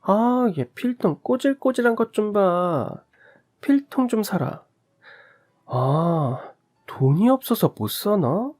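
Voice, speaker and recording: A man's voice: high voice, big pitch swings, slow, a little mellow, voice pushed up, exaggerated voice. A spiteful teenage schoolgirl bully in a radio-drama story, her taunts sneering and mocking, as a male narrator puts her on. The voice is pushed well above the narrator's own.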